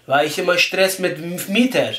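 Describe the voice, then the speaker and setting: A man putting on a naive, persistent Turkish immigrant with a Turkish accent, halting and ungrammatical, on a prank phone call. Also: high voice, animated, a bit bright. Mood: muddled, insistent. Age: young adult. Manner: halting, ungrammatical, repeats himself. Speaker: prank caller. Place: small room indoors, phone on speaker. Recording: echoey room.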